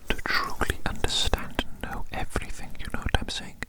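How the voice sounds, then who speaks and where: Asmr host whispering super close to the mic, all breath no voice, slow musing ramble. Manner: musing, unhurried, repeats phrases. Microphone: very close microphone.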